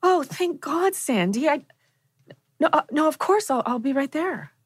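An actress playing an anxious wife on a phone call in a drama table read. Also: female voice, high-pitched, very animated, a little slow. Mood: worried.

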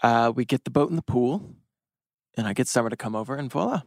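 A man's voice: mid-range voice, lively intonation, fast talker, dry recording, clean studio sound, natural voice. A man playing a nerdy, wry, hopelessly romantic teenage boy in a drama table read, hesitant, wordy and joking. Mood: eager, rambling.

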